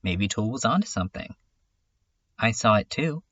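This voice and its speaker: A man playing a brooding, self-critical dreamer in a contemplative, halting monologue for a webcomic fan dub. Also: fairly low pitch, expressive, a bit bright, breathy edge, dull audio. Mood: pensive, wondering.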